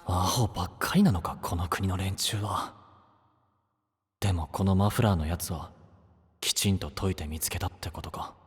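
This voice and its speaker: An actor playing a brash, rough-spoken young knight candidate with a kind streak, grumbling in slangy speech in a fantasy audio drama. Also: male voice, low voice, normal speed. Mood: muttering. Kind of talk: anime-style fantasy audio drama acting.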